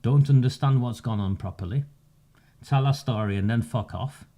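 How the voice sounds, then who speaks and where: A man, delivering a measured, sarcastic campaigning monologue with emphatic pauses. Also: medium pitch, warm tone. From northern England. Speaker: community campaigner. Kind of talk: direct-to-camera monologue.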